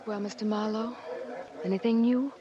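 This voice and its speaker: An actress playing a heartbroken, sentimental woman in a 1940s radio drama, breathless, pleading and halting. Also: mid-range voice, fairly even intonation, a crowd in the background, natural voice. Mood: anxious, hopeful. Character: heartbroken, sentimental married woman clinging to lost love. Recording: muffled, slightly noisy 1940s radio broadcast.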